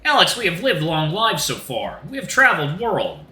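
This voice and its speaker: Man acting as old crow, stoic, formal, deliberate delivery, higher pitch, bright tone, storytelling. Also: huge pitch swings, echoey room, faint music behind, heavily acted. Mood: dry, deliberate. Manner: formal, deliberate, drops articles. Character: stoic, courtly old male crow fighter.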